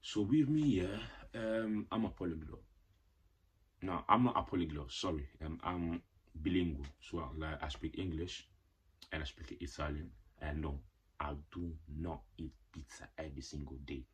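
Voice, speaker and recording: A man's voice: very deep voice, normal speed, slightly rough. A young man telling a casual personal story on his channel, rambling with lots of filler words and playful slangy asides. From Ghanaian parents. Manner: rambling, heavy "like" and "you know" fillers. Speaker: vlogger.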